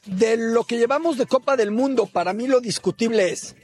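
Husky high-voiced football analyst, measured. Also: male voice, darkish tone. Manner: measured, deliberate.

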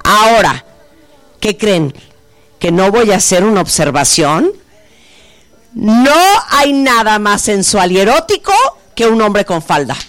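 A woman's voice: mid-range voice, animated, a little slow, pauses often, a bit bright. A woman in her fifties hosting a talk show, playful and teasing, full of exclamations. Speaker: host. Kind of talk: lively talk show chat.

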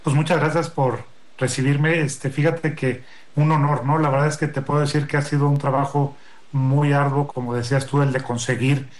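A man's voice: mid-range voice, little pitch movement, normal speed. A man, a film producer answering a radio interviewer, hesitant and full of fillers. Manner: hesitant, lots of fillers and restarts.

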